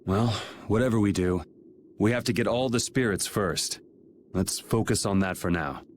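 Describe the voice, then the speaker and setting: An actor playing a blunt, determined young hero with a casual, direct way of talking in a video game cutscene. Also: male voice, on the low side, normal speed, a little hiss or hum, lightly acted.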